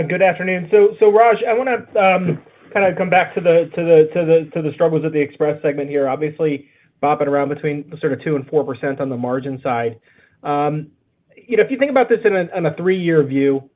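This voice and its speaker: Male financial analyst posing a question, loose and stammering, slightly high pitch, phone-quality sound. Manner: stammering, casual, repeats words. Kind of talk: asking a question on an earnings call. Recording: narrow, tinny phone line.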